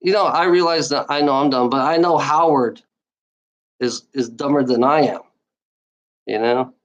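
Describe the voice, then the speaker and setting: A woman's voice: a bass voice, moderate pace, lo-fi sound. Streamer woman with a stutter; rambling, profane, repetitive rant to chat. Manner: repetitive, restarts phrases, profane.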